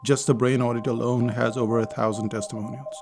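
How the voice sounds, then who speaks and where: A man hosting a casual solo podcast, chatty and persuasive, with his voice hoarse and going. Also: mid-range voice, flat delivery, fast talker, over music. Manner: chatty, persuasive, with small laughs. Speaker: podcast host.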